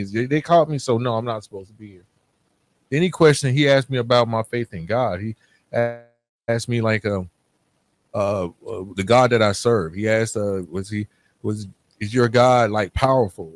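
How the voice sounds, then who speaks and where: A middle-aged man telling a personal story casually, informal in his wording and acting out both sides of remembered exchanges. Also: mid-range voice. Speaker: podcast guest telling his own story. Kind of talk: casual storytelling with re-enacted dialogue.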